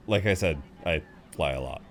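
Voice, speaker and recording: A man's voice: sits low, slight background noise, full character voice. A man playing a chatty, good-humoured frequent flyer who rambles kindly to a seatmate, in an intimate roleplay audio. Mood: chatty, amused. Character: chatty, friendly tall male frequent flyer comforting seatmate. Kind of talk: intimate roleplay audio acting, one side of conversation.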